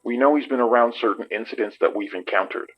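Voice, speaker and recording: A man playing a wary police detective in an audio drama, husky, terse and questioning. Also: mid-range voice, quick pace, dull audio, natural voice. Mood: guarded.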